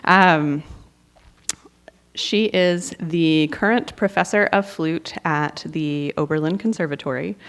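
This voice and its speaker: Woman, event host, low pitch, smooth resonant voice, formal introduction. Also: slow pace. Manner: polite, with ums. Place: campus auditorium.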